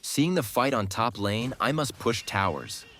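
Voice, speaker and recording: A man's voice: medium pitch, animated, a little slow, bright, forceful tone, soft background music. A man giving scripted, instructive game guide commentary with a cocky, playful streak. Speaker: gaming guide creator, commentator.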